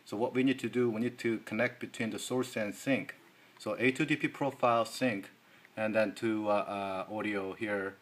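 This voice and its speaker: A man giving an informal hands-on tech tutorial in halting, non-native English. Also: fairly low pitch, steady tone. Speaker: tech demonstrator. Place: indoors at a desk.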